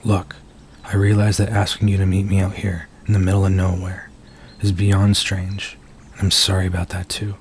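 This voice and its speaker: A man playing a nervous, earnest werewolf in an intimate ASMR roleplay, speaking softly and haltingly as he confides in his date. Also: deep voice, completely flat delivery, a little hiss or hum. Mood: apologetic, earnest. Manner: soft-spoken, hesitant, halting, earnest.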